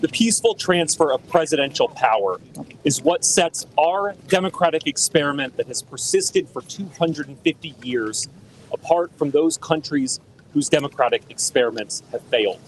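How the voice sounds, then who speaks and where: A man giving a formal, measured press statement outdoors. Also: on the high side, expressive, slight background noise, poor audio. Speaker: lawyer or spokesman at a press conference. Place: outdoors on a city street.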